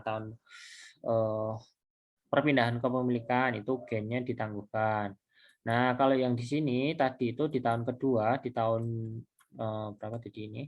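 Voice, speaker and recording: Male lecturer, medium pitch. Conversational, halting tutorial delivery, circles back over points as he explains. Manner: halting, repetitive, conversational, with self-posed questions. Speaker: accounting lecturer. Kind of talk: informal recorded tutorial, explaining step by step.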